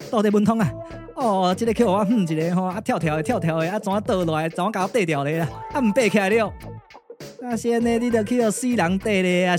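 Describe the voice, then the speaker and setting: Man doing a grumbling, exclamatory Taiwanese comic clown. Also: high voice. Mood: startled, exasperated. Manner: colloquial, exclamatory, repetitive complaining. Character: grumbling comic hard-luck clown, put-upon and brash. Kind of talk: comic Taiwanese puppet radio drama.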